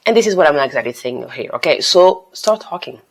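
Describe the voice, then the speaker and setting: A woman's voice: deep voice, lively intonation, brisk. A woman with a French accent, a native French speaker giving casual, emphatic language-learning advice to the camera. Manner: switches between English, French and Italian, emphatic and encouraging. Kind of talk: casual talking-head advice.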